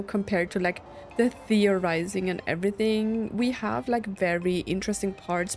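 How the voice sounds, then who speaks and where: A woman's voice: mid-range voice, darkish tone. Casual woman commenting on a game. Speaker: gaming video host. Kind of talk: casual playthrough commentary and reactions.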